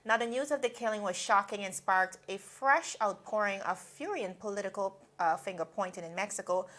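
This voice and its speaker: A woman's voice: medium pitch, fairly bright tone. A woman from Guyana anchoring a daily news roundup, reading stories and then discussing them earnestly with a co-host. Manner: earnest, with frequent fillers.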